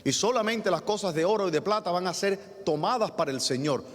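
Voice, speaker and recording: A man's voice: high-pitched, lively intonation, a little fast. A man with a Cuban Spanish accent, preaching as pastor, who reads scripture aloud and then explains it with asides to the congregation. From Cuba. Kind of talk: sermon with scripture reading. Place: church sanctuary. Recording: long church hall reverb.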